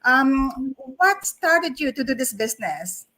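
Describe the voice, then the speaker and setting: Higher-voiced woman hosting an interview. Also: very animated, bright, forceful tone. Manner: deliberate, welcoming, many fillers. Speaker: online talk show host.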